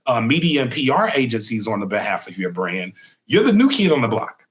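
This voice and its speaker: A middle-aged man hosting a podcast interview, talking casually and acting out quotes in a lively, raised voice. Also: medium pitch, a little fast. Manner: animated, acts out quotes in a raised voice. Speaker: podcast host.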